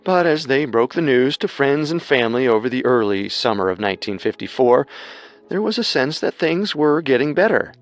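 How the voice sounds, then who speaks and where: A man's voice: medium pitch, sing-song, normal speed. A man co-hosting a scripted true-crime show as narrator, with music underneath. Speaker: co-host and narrator.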